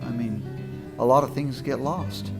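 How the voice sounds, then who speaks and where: A man's voice: mid-range voice, measured pace, over music. A man being interviewed, telling stories in a casual, conversational style. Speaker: interviewee, co-producer of a radio show.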